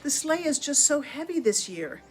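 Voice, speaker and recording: A woman voicing a gentle, weary old horse in a picture-book story, apologetic and fretting. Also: high-pitched, very animated, moderate pace, faint music behind, voice pushed up.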